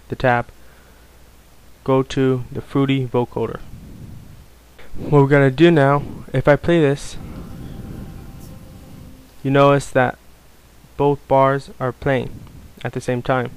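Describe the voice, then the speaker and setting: A man giving a casual, unscripted software tutorial, explaining things informally step by step. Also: mid-range voice, smooth, poor audio. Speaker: tutorial presenter.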